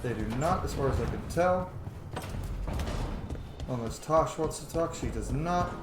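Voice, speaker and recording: A man's voice: fairly high pitch, lively intonation, unhurried. A man hosting a video game playthrough with casual, conversational commentary. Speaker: gamer, video host. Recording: roomy echo, game music behind.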